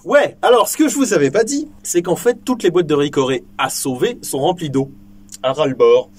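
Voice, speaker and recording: A young man hosting a casual vlog from a small indoor shooting booth, joking, swearing freely and filling pauses with lots of ums. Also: on the high side, expressive, darkish tone, slight background noise. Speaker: firearms video host.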